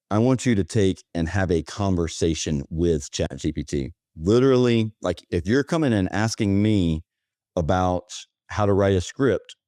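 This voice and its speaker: Low, mellow-voiced man chatting. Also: dry recording, studio quality. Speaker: podcast guest, automation agency owner. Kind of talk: casual conversational interview talk.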